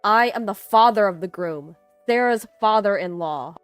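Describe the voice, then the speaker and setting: An indignant older company chief with a commanding, forceful manner, acted out by a female narrator. He is a stern figure in a dramatized story, with music underneath. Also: on the low side, lively intonation, moderate pace, no room sound, close to an everyday voice. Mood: stern, angry. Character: stern, angry older male CEO, groom's father. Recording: music behind the voice.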